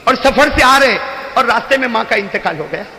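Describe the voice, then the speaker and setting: A man preaching a fervent sermon who often shouts, then drops to a hushed low voice, calling the crowd his friends. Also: lively intonation, a little fast. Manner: addresses listeners repeatedly as "my friends". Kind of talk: impassioned religious sermon. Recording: echoey hall with long reverb.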